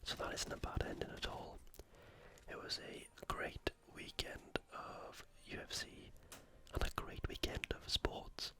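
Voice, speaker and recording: An ASMR host speaking casually in a breathy, unvoiced whisper, giving commentary on sport and gameplay. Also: measured pace. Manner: casual, conversational.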